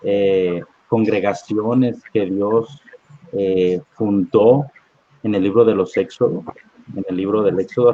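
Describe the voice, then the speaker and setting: A man leading a live-streamed Bible study, reading scripture aloud at an unhurried pace and often checking in with "¿verdad?". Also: on the low side, warm tone, husky, no echo. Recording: dull lo-fi online stream audio.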